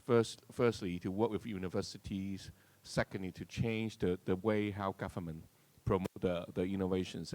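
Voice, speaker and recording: Low-voiced Hong Kong man, conversational. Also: darkish tone, dry recording. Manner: conversational, punctuates with "right?". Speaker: science park representative, panelist. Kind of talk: informal panel discussion answer.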